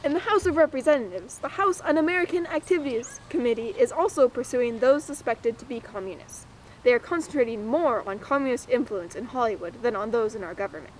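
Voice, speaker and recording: Young female presenter, high voice, scripted mock-documentary read, slight noise behind. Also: expressive, fairly quick, poor audio. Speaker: presenter and interviewer.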